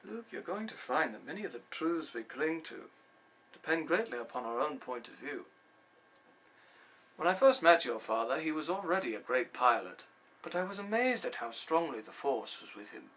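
A man performing a film monologue as an old, wise Jedi mentor, grave and wistful, with a measured storytelling manner. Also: fairly high pitch, big pitch swings, a bit bright, a little hiss or hum, rough recording. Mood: wistful, instructive.

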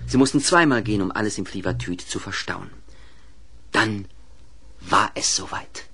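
A man's voice: lowish voice, normal speed, bright, forceful tone, slightly rough. A man narrating a children's radio play in a vivid, dramatic storytelling manner.

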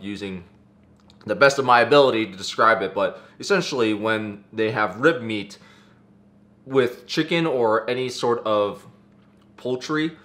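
A young man in his twenties, for whom English is not his first language, talking casually to camera in a vlog, excitable and slangy, often saying "dude" and "freaking". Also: fairly low pitch. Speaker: vlogger. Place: car, then kitchen, then living room, indoors.

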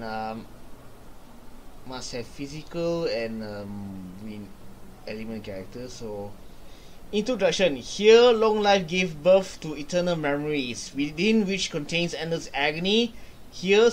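A young man streams a game, talking very slowly in a slightly high voice. He thinks aloud in halting phrases, with some noise around him. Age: young adult. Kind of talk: live gameplay commentary with reading aloud. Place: small indoor room.

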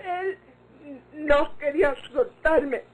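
Scared but fiery young mexican ranch girl, super high voice, slow, old radionovela. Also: a little mellow. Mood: sobbing, shaken. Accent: rural Mexican. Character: frightened young rural Mexican woman, fiery when cornered. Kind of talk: golden-age Mexican radio drama acting. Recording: noisy old radio recording.

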